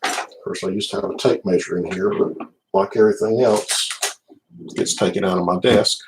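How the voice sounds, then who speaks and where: Deep-voiced Southern US man. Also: fairly even intonation, background noise. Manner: unhurried, addresses viewers by name. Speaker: livestream host, card collector. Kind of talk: casual livestream chat.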